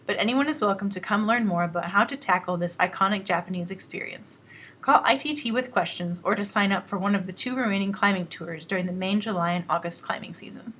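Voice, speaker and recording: A woman reading scripted announcements about base events in an even promo style. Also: mid-range voice, slight background noise.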